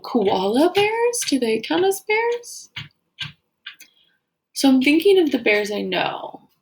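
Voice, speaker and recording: A woman teacher doing an educational read-aloud for children, explaining as she reads and pausing to wonder aloud and ask questions. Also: high-pitched, sing-song, creaky, a little mellow, slight background noise. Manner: explanatory, pauses to wonder aloud and pose questions to young listeners. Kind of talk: educational read-aloud of a children's nonfiction book with think-aloud comments.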